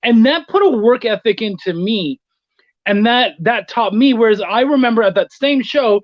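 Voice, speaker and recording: On a slightly muffled, very dry recording, a man talks casually as an interview guest. He tells stories in an animated, conversational way and swears often. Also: sits high, moderate pace, dry recording. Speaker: guest, freelance writer and editor. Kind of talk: casual anecdotal chat.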